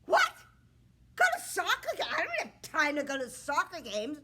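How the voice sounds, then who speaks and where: A woman putting on a loud bragging young prince. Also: sits high, lively intonation, pitched above the performer's own voice. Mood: indignant. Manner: loud bragging, rapid boasting lists. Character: boastful self-absorbed young prince suitor, male.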